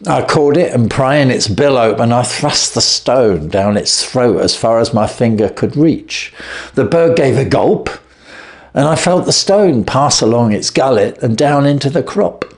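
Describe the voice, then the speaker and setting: A man reading aloud and voicing a frightened male thief who begs for mercy and then confesses. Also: measured pace. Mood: confessing. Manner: shrill, begging, then steady confession. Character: desperate male hotel thief, pleading and frightened. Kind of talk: reading a classic story aloud.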